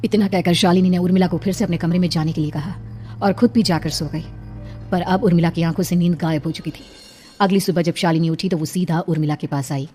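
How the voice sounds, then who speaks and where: A woman's voice: on the low side, steady tone, brisk, dark tone, faint music behind. A woman narrating a scripted horror cartoon story in a dramatic storytelling style.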